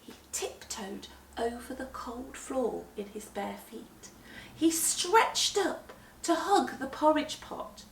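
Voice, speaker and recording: A woman reading a children's story aloud with expressive, dramatic emphasis, moving between hushed and swelling delivery. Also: high-pitched, slow, creaky, a little hiss or hum. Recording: echoey room, distant microphone.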